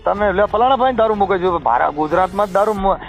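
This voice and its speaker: A man's voice: high voice, fast talker, creaky, faint music behind. A man with a Kathiawadi Gujarati accent arguing his opinion emphatically on a recorded phone call, repeating phrases for stress.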